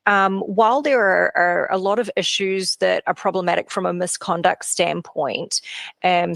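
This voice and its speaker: A woman speaks as a podcast guest, explaining carefully and often pausing on fillers. Her voice sits low, with a gravelly edge, and the recording is dry and close. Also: a bit bright, no echo. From New Zealand. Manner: thoughtful, explanatory, many fillers. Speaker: guest compliance expert. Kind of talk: podcast interview.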